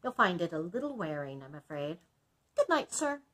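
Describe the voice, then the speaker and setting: A woman's voice: on the low side, very animated, normal speed, fairly bright tone. A woman voicing a polite, crafty male blacksmith and father in a storybook, deferential and matter-of-fact. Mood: calm, placating.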